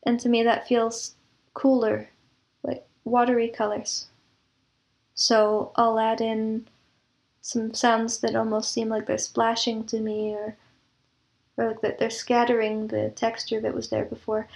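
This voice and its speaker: Female interviewee, higher register with strong vocal fry, reflective and descriptive delivery. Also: completely flat delivery, unhurried, a little mellow. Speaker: guest composer and audio drama producer.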